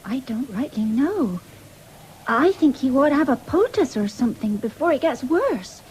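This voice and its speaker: A woman's voice: high voice, sing-song, normal speed, darkish tone, a little hiss or hum, low-quality recording. An actress playing a dutiful young servant girl, deferential and hesitant, in a 1970s radio drama. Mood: worried, gentle. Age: young adult.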